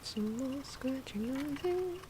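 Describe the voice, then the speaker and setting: A soft-spoken woman giving casual ASMR commentary on drawing, often slipping into whispers, in an unhurried, rambling and playful way. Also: sits high, lively intonation. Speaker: host of an ASMR drawing channel. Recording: clear background noise from drawing sounds. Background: pen and marker scratching.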